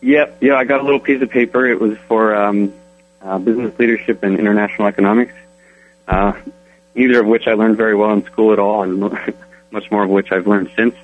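A young man from Colorado answering interview questions in an easygoing, relaxed way. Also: on the low side, a little fast, faint music behind, low-quality recording. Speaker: interview guest.

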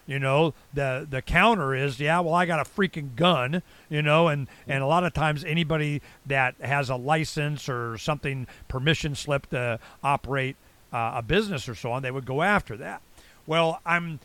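A man's voice: a little high, normal speed, a bit bright, no echo. An American man around sixty hosting a casual interview, rambling and blunt with salty slang. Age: late 50s to early 60s. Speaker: talk show host.

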